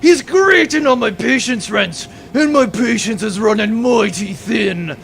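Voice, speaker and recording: An actor playing a vain, blustering pirate villain in a comic sci-fi audio drama, full of florid oaths and theatrical threats. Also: male voice, sits high, lively intonation, unhurried, gravelly, fairly bright tone, a little hiss or hum, exaggerated voice. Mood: furious.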